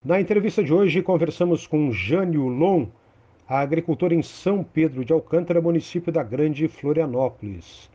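A man with a Brazilian Portuguese accent, presenting a farm radio program in a steady, measured scripted read. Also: fairly high pitch, husky. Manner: steady, measured reading of announcements. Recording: dull lo-fi radio sound with faint music.

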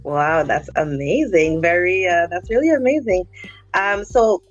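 A woman hosting a podcast, interviewing a guest in a conversational way with hesitant, pausing phrasing as she forms questions. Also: fairly low pitch, dull audio, faint music behind. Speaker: podcast host.

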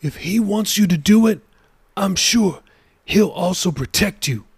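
A man doing a gravelly older pastor. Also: sits high, lively intonation, no echo, studio quality, pitched above the performer's own voice, full character voice. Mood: counseling. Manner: gentle, measured, advising. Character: older male pastor, kindly counselor.

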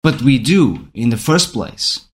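A man's voice: mid-range voice, very animated, takes it slowly. Portuguese man musing on how we learn. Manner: thinking aloud, many restarts and rhetorical "right?". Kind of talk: reflective talk on learning.

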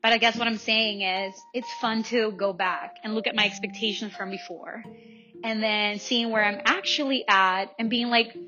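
A woman's voice: medium pitch, steady tone, moderate pace, sharp tone, lo-fi sound. A young woman from El Salvador talking casually and reflectively to her listeners, informal and self-questioning, often checking in as she goes. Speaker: vlogger. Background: music.

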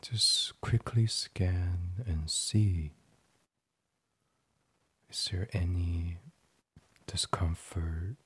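A man leading a sleep meditation in an ASMR style, gentle and soothing, breathy and often half-whispered. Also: low voice, drawn-out words, pauses often, dry recording. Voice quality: breathy, hushed. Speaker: guided meditation host.

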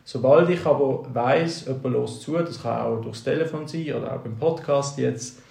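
Swiss male podcast co-host, stutters, gravelly voice, roomy echo. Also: mid-range voice, a little mellow, a little hiss or hum. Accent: Swiss German. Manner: stutters, halting and reflective. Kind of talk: casual podcast chat. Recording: roomy echoey sound.

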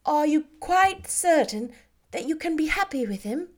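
A woman voicing Jane, gentle earnest young sister. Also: high-pitched, expressive, a little slow, voice pushed up.

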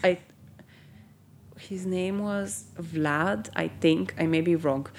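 A woman's voice: lowish voice, slow pace, slight background noise. A young woman from Bulgaria, a company founder, chatting in an interview with many ums and likes. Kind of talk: casual interview.

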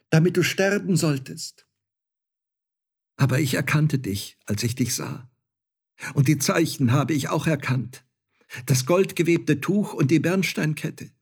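A man's voice: on the high side, unhurried, a little mellow, breathy edge, pitched above the performer's own voice. A man voicing a ragged beggar woman who pleads with her lost son. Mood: pleading. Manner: pleading, imploring.

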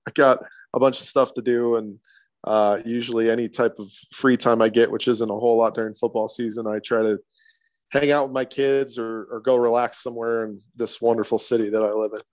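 A man in his thirties answering interview questions in a low-key, hesitant way with many fillers. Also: little pitch movement, brisk, a little mellow, dry recording. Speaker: sportsbook manager.

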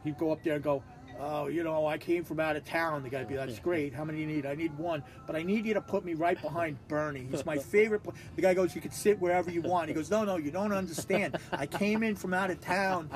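A man's voice: fairly high pitch, fast talker. A man, co-hosting a podcast in casual chat, reading notes aloud bluntly and with plenty of swearing. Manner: blunt and profane, mimics voices when retelling stories. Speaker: podcast co-host.

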